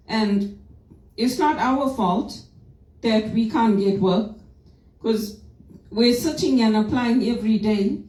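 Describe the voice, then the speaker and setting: A woman's voice: a little high, warm tone. A woman from Zimbabwe giving an informal, earnest and opinionated personal reflection with a religious side. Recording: roomy echo, distant from microphone.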